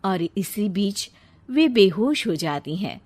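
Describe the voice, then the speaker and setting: A woman's voice: mid-range voice, sing-song, slow, darkish tone. A woman narrating a scripted story as a storyteller.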